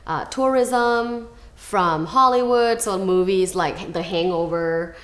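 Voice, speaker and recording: A woman's voice: medium pitch, slow, a bit bright, roomy sound. A young woman from Thailand, a guest expert talking in a thoughtful, casual way, often asking rhetorical questions. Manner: thoughtful, many fillers, asks rhetorical questions. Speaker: guest, sex and relationship expert. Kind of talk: casual conversation.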